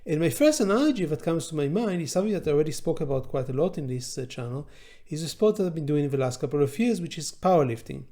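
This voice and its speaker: A middle-aged male law professor, a native Hebrew speaker, talks through an idea in a thoughtful lecture-style monologue. His voice sits a little high for a man but has a soft, mellow tone. He speaks deliberately, stopping to search for words with frequent ums and uhs. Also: big pitch swings. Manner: deliberate and measured, with pauses and filler sounds. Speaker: law professor hosting an educational channel. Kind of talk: reflective educational monologue.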